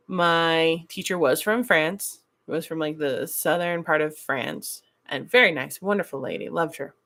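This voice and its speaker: A young adult woman from the United States hosting a casual chat stream, blunt and animated, laughing often as she talks. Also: lowish voice. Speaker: stream host. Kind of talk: casual chat reading chat messages.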